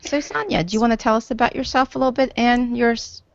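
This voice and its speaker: A woman's voice: a little high, steady tone, fast, creaky, dry recording, muffled recording. A woman co-hosting a casual tech talk show, asking questions in a teasing way and reacting with exclamations. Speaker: podcast co-host. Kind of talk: casual chat.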